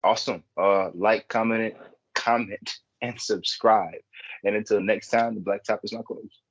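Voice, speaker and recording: A man's voice: on the low side, steady tone, measured pace, low-quality recording. A man hosting a podcast in a casual, conversational style. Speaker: podcast host. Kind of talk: casual chat.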